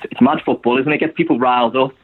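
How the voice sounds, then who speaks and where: A man's voice: mid-range voice, steady tone, rapid-fire. A young adult man with a Scouse accent from Liverpool, talking casually as a guest and rambling with lots of "you know" fillers. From Liverpool, England. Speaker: guest supporter. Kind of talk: casual podcast conversation. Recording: tinny phone-like line.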